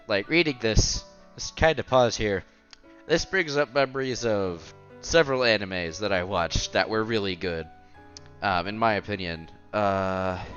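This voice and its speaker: A man's voice: lowish voice, a bit bright, highs cut off. A man streaming a visual novel, reading the text aloud with casual commentary and stumbling over words now and then. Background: game music.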